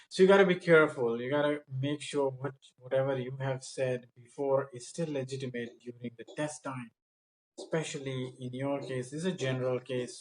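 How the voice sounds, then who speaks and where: A man's voice: mid-range voice, poor audio. Guy tutoring english speaking, casual but instructive, asks questions and explains stuff. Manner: instructive, informal. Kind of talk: conversational coaching and mock interview questions.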